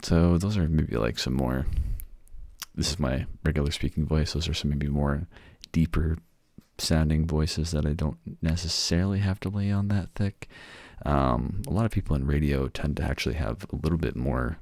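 A man with an extremely low, gravelly voice chats casually through an ASMR demo. He rambles in a self-deprecating, unhurried way, recorded close and dry. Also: darkish tone, no room sound. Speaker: voice actor giving samples.

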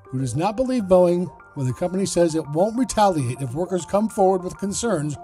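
A man hosting a news podcast, reading a scripted report in a measured, explanatory way. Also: high voice, expressive, dark tone, faint music behind.

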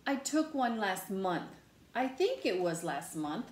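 A woman's voice: mid-range voice, sing-song, slight background noise. Woman acting a stubborn, dramatic picture-book pigeon, wildly swooping. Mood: indignant, defensive. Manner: exclamatory, drawn-out words, mock indignation. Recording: roomy indoor echo.

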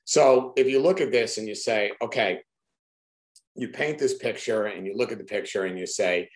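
A man's voice: lowish voice, normal speed. A man giving an earnest, conversational presentation over a video call, with frequent fillers and restarts. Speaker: executive director presenting research. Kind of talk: online presentation talk. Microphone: video call webcam.

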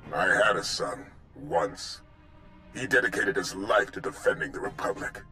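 An actor playing a stern, hardened older military commander in a video game, grim and forceful. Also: male voice, deep voice, lively intonation, moderate pace, faint music behind. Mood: bitter. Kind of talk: video game cutscene acting.

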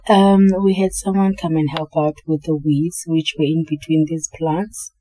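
A South African woman narrating her cleaning vlog in a relaxed, chatty voice-over, often repeating herself. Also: deep voice, moderate pace, slight background noise, rough recording. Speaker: home cleaning vlogger.

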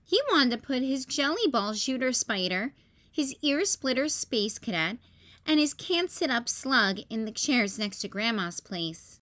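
A woman hosting story time, reading a children's picture book in a careful, expressive way for young listeners. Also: on the high side, unhurried. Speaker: storytime host.

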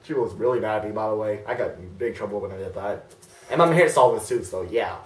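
A teenage boy reacting to his own old videos in his bedroom, embarrassed and excitable, jumping between mutters and yelps. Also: fairly low pitch, fast, roomy sound, far from the mic. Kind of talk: casual reaction commentary. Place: bedroom, indoors, hugging a big stuffed bear.

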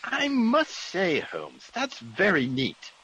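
Sceptical English gentleman, genteel, excitable, fairly high voice, very animated intonation, vintage radio drama. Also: slow pace, dull audio, heavily acted. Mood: curious. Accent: British. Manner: genteel, blustery, exclamatory. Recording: noisy vintage radio recording.

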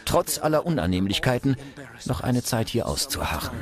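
A resolute young colonial commander played by a man speaks in a documentary dramatization. His mid-pitched voice is formal, determined and very animated, over faint music. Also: moderate pace, lightly acted. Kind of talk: dramatized reading of a letter.

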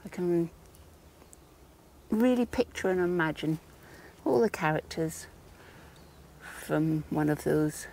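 A woman's voice: low voice, expressive, takes it slowly, creaky, pauses often, a little mellow, a little hiss or hum. A woman narrating a casual nature walk vlog outdoors, musing in short phrases full of wonder. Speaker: vlogger. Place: outdoors in woodland.

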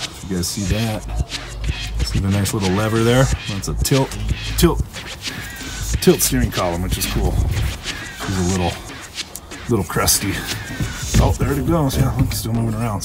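A man's voice: fairly low pitch, normal speed, long pauses. An American man giving a casual, colloquial, opinionated walkaround as a car restorer. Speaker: car restorer presenting a find. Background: music.

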